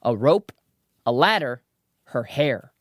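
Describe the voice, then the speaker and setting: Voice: medium pitch, lively intonation, slow pace, dry recording, lo-fi sound. A trivia show host presenting a scripted quiz in a playful way, with wry asides. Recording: music behind the voice.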